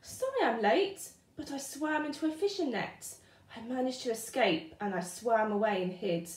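An excitable little boy fish from a children's storybook, played by a woman, high and very lively in pitch. He gushes earnest, breathless tall-tale excuses in a roomy, echoing space. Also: moderate pace, lightly acted. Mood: earnest, relieved. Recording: roomy indoor echo.

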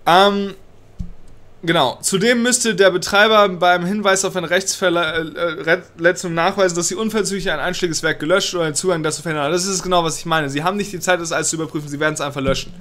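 A man's voice: sits high, steady tone, a little fast, fairly bright tone. A young man with a cold, ranting agitatedly and swearing while he reads a law text aloud and comments on it. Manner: agitated, swears, mixes in English words. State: has a cold. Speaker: political commentator. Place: small room indoors.

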